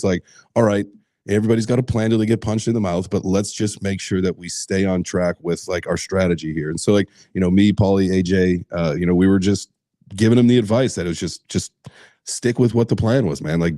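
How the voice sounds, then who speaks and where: A hoarse man talks in a low, mellow voice, circling back on himself with filler words and curses. The sound is dry, close and unadorned. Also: no room sound. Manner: rambling, profane, lots of fillers and restarts. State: hoarse from screaming. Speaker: host. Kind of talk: casual podcast chat.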